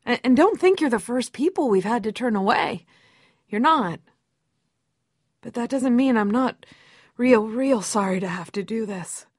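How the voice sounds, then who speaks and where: A woman's voice: fairly high pitch, animated, measured pace, long pauses, husky, no echo, studio quality. A woman voicing a kindly, apologetic survivor camp gatekeeper in a video game, with a folksy, motherly, hesitant manner. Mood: apologetic, gentle. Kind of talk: dramatic video game dialogue.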